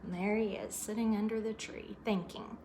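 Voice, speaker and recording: A woman's voice: mid-range voice, steady tone, moderate pace, dark tone, a little hiss or hum. A woman storyteller reading a picture book aloud expressively to children, adding asides about the pictures.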